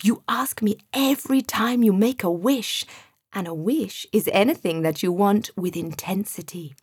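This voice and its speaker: A woman voicing a kindly male genie who speaks in a calm, gentle, knowing way. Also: mid-range voice, a little slow, husky, dry recording, clean studio sound.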